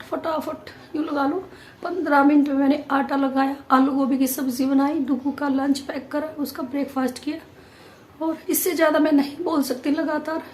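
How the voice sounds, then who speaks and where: A woman vlogger chatting casually to viewers, her voice hoarse and nearly gone, pushing hard to get the words out. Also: high voice, darkish tone, slight background noise. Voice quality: strained, forced voice.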